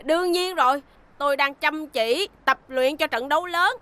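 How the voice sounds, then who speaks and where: A woman's voice: sits high, moderate pace, pushed and bright. A woman voicing a cocky, hot-tempered boy racer in a cartoon dub, brash and defiant. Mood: polite, proud.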